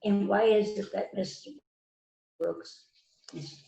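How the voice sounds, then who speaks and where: A woman's voice: medium pitch, steady tone, vocal fry, dark tone, soft background music. A woman playing a stern police detective in an amateur mystery reading, stumbling and repeating words as she reads. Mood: probing. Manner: halting read-aloud delivery with stumbles and repeats. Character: stern female police detective questioning suspects. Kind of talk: amateur readers theater mystery. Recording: echoey, muffled, noisy online call.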